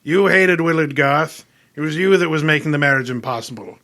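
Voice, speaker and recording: A man playing a pompous genius detective, bright and forceful. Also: fairly high pitch. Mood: accusing, triumphant. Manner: grandiloquent, deliberate, theatrical. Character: pompous, erudite, gluttonous male genius detective. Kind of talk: old-time radio detective acting.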